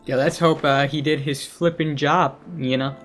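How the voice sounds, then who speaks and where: A man's voice: a little high, steady tone, moderate pace, a bit bright. A man reacting casually to a video game as a streamer, informal and given to mild swearing. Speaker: gaming streamer. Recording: game music behind commentary.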